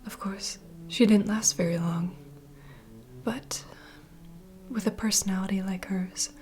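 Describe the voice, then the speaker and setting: Female vampire bartender played by a woman, ASMR roleplay. Soft breathy whisper, confiding, unhurried mentor, clear ambient noise behind. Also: medium pitch, fairly even intonation, close to an everyday voice. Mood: hushed storytelling.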